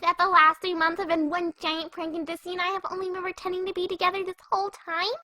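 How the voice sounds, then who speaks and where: A woman voicing a giddy, mischievous young female cartoon pony in a fan-fiction audio drama, bubbly and excitable. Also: very high-pitched, quick pace, no room sound, clean studio sound. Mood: gleeful.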